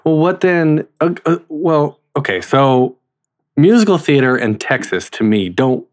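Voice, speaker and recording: A man's voice: fairly high pitch, animated, unhurried. An American man from North Carolina hosting a casual conversational interview, with hesitant, rambling phrasing. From North Carolina, United States. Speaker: interviewer, host.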